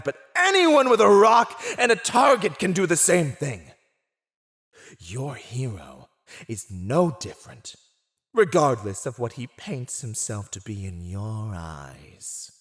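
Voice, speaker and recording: Slow, silver-tongued teasing villain. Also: male voice, fairly high pitch, very animated, fairly bright tone, exaggerated voice. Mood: scornful, probing. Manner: teasing, mocking, theatrical, drawn-out delivery with chuckles. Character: silver-tongued male villain warlord taunting a captive. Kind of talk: ASMR roleplay, scripted villain monologue.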